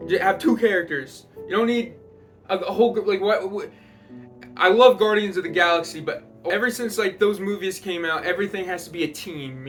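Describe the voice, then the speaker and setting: A man with a fairly high-pitched voice rambles through casual film commentary. He sounds exasperated and swears freely. Music plays clearly behind him. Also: a bit bright. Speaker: video commentator.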